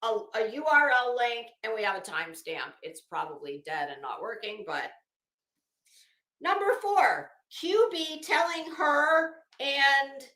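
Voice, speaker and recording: A woman with a bright, forceful, slightly high voice reads a document aloud and comments on it in a sardonic, incredulous way. Her delivery is unhurried and blunt, with casual swearing. The recording sounds roomy and echoing. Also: very animated. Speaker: host of commentary show. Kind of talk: reading a court document aloud with running commentary.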